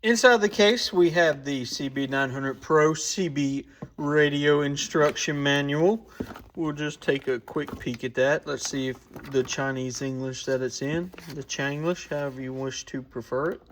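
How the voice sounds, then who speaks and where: A man's voice: fairly high pitch, a little slow, a bit bright, a little hiss or hum. A man hosting an informal, off-the-cuff product review, thinking aloud as he goes. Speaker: host of a radio shop's review channel. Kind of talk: casual hands-on product review.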